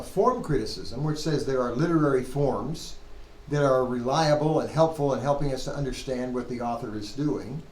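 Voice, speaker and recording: A man lecturing informally to a class in a conversational way, posing questions to himself and correcting his own phrasing as he goes. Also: fairly high pitch. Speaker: seminary Hebrew lecturer. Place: classroom with students. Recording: roomy echo, clear background noise.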